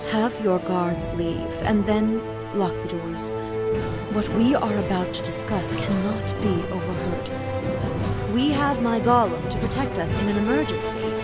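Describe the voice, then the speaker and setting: A woman's voice: mid-range voice, natural voice. A woman playing a stern, authoritative mage in a visual novel, speaking in a formal, imperious way. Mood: commanding. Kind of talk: dramatic visual novel acting. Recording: loud music under the voice. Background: loud music.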